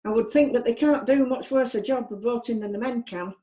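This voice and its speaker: A woman's voice: on the high side, brisk, mellow, lightly acted. An actress playing a polite female shop customer giving somewhat hesitant testimony in a period courtroom play. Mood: firm. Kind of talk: theatrical courtroom play acting.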